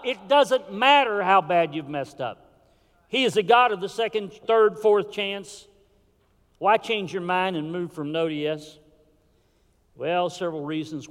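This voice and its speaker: Impassioned preacher in a reverberant church. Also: male voice, high-pitched. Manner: impassioned preaching with sudden drops to a hush. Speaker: pastor. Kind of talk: sermon. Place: church sanctuary. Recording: hall reverb.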